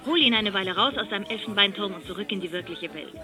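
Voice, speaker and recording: An actress playing a persistent wife in a radio drama, talking in a chatty, coaxing way. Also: female voice, mid-range voice, quick pace, natural voice. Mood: cheerful, teasing. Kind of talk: naturalistic radio drama dialogue.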